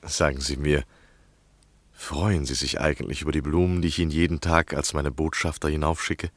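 A man's voice: extremely low pitch, steady tone, moderate pace, no echo. An actor playing a polite, persuasive and probing male journalist in a formal, gallant manner, in the style of a 1960 German radio play. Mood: gallant, conversational.

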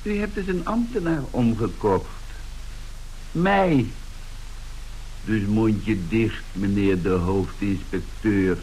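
A man playing a sly retired tax official in an old radio play, deliberate and drily menacing. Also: on the low side, animated, darkish tone, poor audio. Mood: slyly threatening. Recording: hissy old radio recording.

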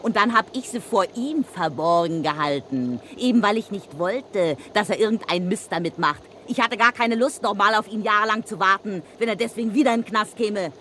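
An actress as a brazen, scheming woman of the underworld in a radio crime play. She talks in a cheeky, taunting, street-wise way at a middling pitch. There is faint noise and watery boat ambience behind her. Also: fairly quick, few pauses, a bit bright, close to an everyday voice. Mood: heated, insistent.

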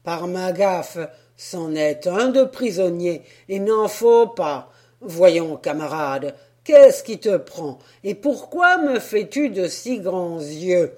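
A woman reading a story, voicing a rough, tipsy male sailor who talks loudly in salty slang. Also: fairly low pitch, animated, takes it slowly. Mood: rowdy. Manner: loud, gruff, full of sailor slang. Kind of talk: storybook character voice.

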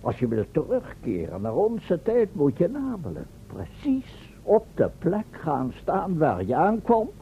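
An actor playing a pompous, long-winded inventor professor who lectures formally and corrects himself, in a Dutch radio play. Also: male voice, on the high side, wildly swooping pitch, measured pace, gravelly, few pauses, dark tone, full character voice. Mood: lecturing. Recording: tinny band-limited old radio recording, faint noise.

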